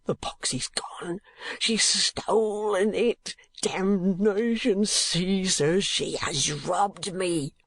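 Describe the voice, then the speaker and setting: A shrill, frantic old miser wails and gasps in ruin,. His voice leaps high and cracks into hushed whispers. The sound is close and dry. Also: expressive, measured pace, dry recording. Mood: frantic, wailing. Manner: screeching, whispering in panic.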